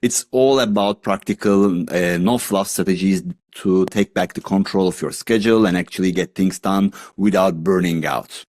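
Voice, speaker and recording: A man's voice: sits low, normal speed, hardly any pauses, darkish tone, dry recording, clean studio sound. A man with a Turkish accent, Turkish his first language, answering as a podcast guest in a friendly, upbeat and slightly jokey way. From Turkey. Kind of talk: interview answers.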